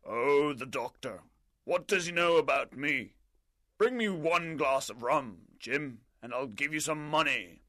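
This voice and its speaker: A gruff old sea captain barks his orders, as a man puts him on for a storybook reading. The voice sits well above the reader's own, slow and heavy, with a bright, strained edge. The recording is dry and close. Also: mid-range voice, no echo, voice pushed up. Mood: anxious. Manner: barking orders. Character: gruff old male sea captain, hard-drinking, fearful.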